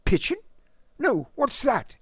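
Young upper-class Englishman, high swooping pitch, lofty, clipped, innocent, eager, audiobook character. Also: huge pitch swings, takes it slowly, breathy edge, no room sound, studio quality, heavily acted. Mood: eager, curious. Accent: upper-class British. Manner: lofty, clipped, over-polite, wordy. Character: naive, delighted young upper-crust Englishman abroad.

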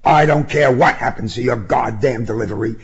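An indignant young man with a short fuse, from an audiobook story, as a man narrator acts him. He speaks bluntly and accusingly in a rough voice that jumps widely in pitch. The sound is slightly muffled. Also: very animated, normal speed, heavily acted. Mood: angry, refusing. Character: hot-tempered, indignant young man driving his father's car.

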